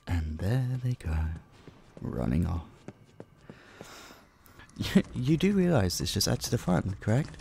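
A man voicing a possessive, centuries-old vampire in an intimate roleplay drama, slow and condescending, with a husky, breathy voice that drops into whispers. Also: lowish voice, sing-song, dark tone, a little hiss or hum, heavily acted. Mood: gleeful predator. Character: possessive centuries-old male vampire, dominant, menacing captor.

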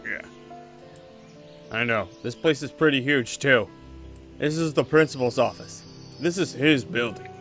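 A man playing an easygoing but firm father, a veteran exorcist in a Minecraft roleplay, talking in a relaxed, reassuring way. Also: mid-range voice, unhurried, pauses often, faint music behind. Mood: explaining. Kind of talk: improvised Minecraft anime roleplay.

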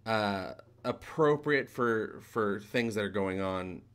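A man in his forties hosts an interview over a video call. His questions wander, with many "uh"s and restarts along the way. His voice sits somewhat low. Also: slow pace, clean tone. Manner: rambling questions with many fillers. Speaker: podcast host. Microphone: webcam video call.

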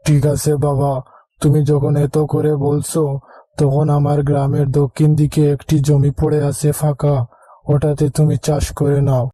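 A man's voice: a little high, monotone, a little slow, warm tone, dry recording. A man playing a firm, authoritative older village headman, stern but fair, in a storybook tale. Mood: agreeable.

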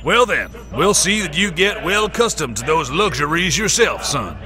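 An actor playing a confident, businesslike American official with brisk, folksy authority in a comic drama. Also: male voice, a little high, very animated. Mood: welcoming, businesslike.

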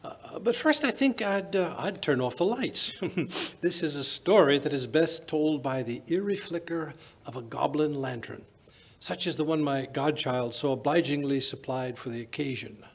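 Radio-play elderly British doctor, acted by an older man: avuncular rambler, highish gravelly voice. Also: lively intonation, moderate pace. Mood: relishing storyteller. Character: genial elderly British doctor, storytelling old raconteur. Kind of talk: old-time radio drama acting. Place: small stage in a museum, live performance. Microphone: vintage-style stand microphone.

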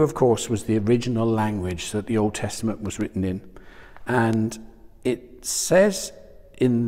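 A man's voice: fairly low pitch, mellow, in a large hall. A man gives a conversational sermon on a lapel mic, often asking his listeners questions. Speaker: church speaker. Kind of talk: sermon talk. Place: small church studio room in front of a screen.

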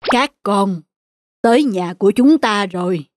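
A woman voicing a kindly old village father in an animated folk tale, plaintive and fatherly, with a husky voice. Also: mid-range voice, a little slow, lightly acted. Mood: warm, grateful.

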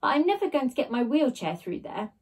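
A woman reading a storybook, voicing an excitable, cheeky young boy who exclaims in a fluster. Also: sits high, fairly quick, husky, exaggerated voice.

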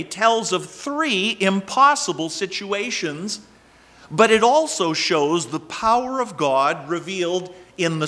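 Older male pastor, slow deliberate delivery, bright tone, pulpit mic in a church sanctuary. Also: on the high side. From Canada. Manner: measured, expressive preaching with emphatic rises. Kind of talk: sermon.

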